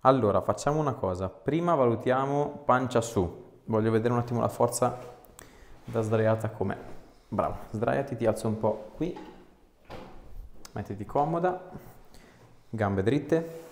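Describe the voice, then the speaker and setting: A man's voice: mid-range voice, a little slow, long pauses. A man, an osteopath chatting casually and giving short, reassuring instructions during an exam. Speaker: osteopath treating a patient. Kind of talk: casual clinical chat during a hands-on exam. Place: small indoor clinic room. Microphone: small mic clipped to scrub top. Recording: echoey small treatment room.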